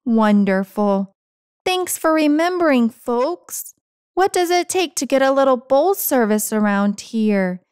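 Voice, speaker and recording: A woman's voice: high-pitched, lively intonation, measured pace, darkish tone, clear, resonant tone, no echo, clean studio sound. A woman voicing a grumpy, dramatic, self-pitying house cat with sarcastic, theatrical complaining in a picture-book read-aloud. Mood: grumbling, sarcastic.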